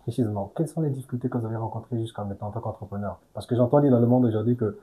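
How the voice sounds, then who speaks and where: A man's voice: medium pitch, racing, dark tone. A man hosting an interview, asking long, formal and polite questions and murmuring acknowledgements. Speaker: interview host.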